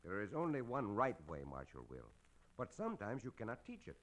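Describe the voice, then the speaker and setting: An actor playing a gallant, courteous Mexican cowboy hero with a Mexican accent in an old-time Western radio drama, speaking in measured, formal phrases. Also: male voice, on the low side, wildly swooping pitch, fairly bright tone, exaggerated voice. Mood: calm, reassuring.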